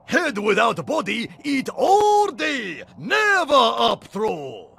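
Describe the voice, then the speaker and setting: An excitable little game mascot, very high-pitched and swooping wildly. He proclaims everything pompously in slow, stilted English, with a creaky edge. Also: a little hiss or hum, full character voice. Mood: dramatic proclaiming. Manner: bombastic, over-enthusiastic, stilted phrasing. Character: excitable Japanese mascot creature guide, pompously dramatic, broken English. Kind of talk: video game cartoon voice acting.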